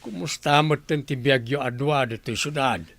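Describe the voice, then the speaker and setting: Creaky older Filipino father. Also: mid-range voice, normal speed, fairly bright tone, a little hiss or hum. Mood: friendly, inquiring. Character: friendly older provincial father visiting the city. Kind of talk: radio drama acting. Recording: radio drama with music underneath.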